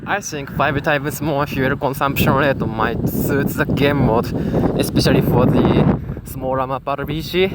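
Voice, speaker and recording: A young man with a Japanese accent speaks English slowly and haltingly, pausing on fillers as he works through his thoughts. His voice sits at a middle pitch, and heavy wind roars across the microphone outdoors, with a boat engine droning behind him. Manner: hesitant, many fillers and restarts. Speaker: gamer. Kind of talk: casual spoken commentary. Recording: loud wind noise on the mic.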